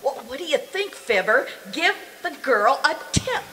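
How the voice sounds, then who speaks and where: A woman's voice: high-pitched, sing-song, measured pace, very noisy. A woman playing a fond, teasing housewife in old-time radio comedy, wry and exclamatory. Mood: wry, scolding. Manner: wry, exclamatory, scolding affectionately. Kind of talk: 1940s radio comedy acting.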